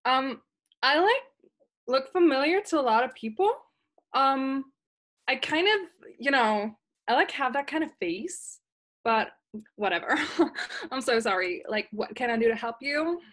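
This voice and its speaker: A woman's voice: sits high, lively intonation, measured pace, fairly bright tone. A young woman playing a ditzy, casual, apologetic chief operator in a comic play, hesitant and full of filler words. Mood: breezy, apologetic. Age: young adult. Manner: hesitant, filler-laden valley-girl phrasing. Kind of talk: comic stage-play acting over video call. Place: small plain room at home. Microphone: webcam video call.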